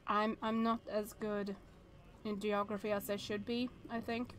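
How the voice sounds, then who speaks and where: A woman's voice: mid-range voice, flat delivery, a little slow, slight background noise. A woman in her thirties streaming a visual novel, chatting and thinking aloud with hesitant restarts. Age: 30s.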